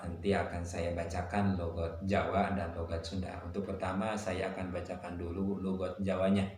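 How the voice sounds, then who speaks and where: A man teaching a religious lesson. He recites Arabic phrases from a classical text and glosses each word in a steady, measured cadence. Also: deep voice. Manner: poses and answers his own questions. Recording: very echoey room, faint noise.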